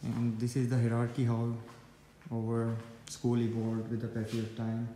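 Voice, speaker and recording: A man in his twenties with a Pakistani accent, talking haltingly and casually as a student vlogger. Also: medium pitch, completely flat delivery, mellow. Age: 20s. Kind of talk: casual vlog narration and interviewing. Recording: echoey rooms, faint background noise.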